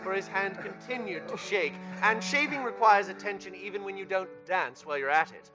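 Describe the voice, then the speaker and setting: A man's voice: high-pitched, bright, forceful tone. A man playing the narrator of a Christmas radio play, telling the tale with emphatic theatrical delivery.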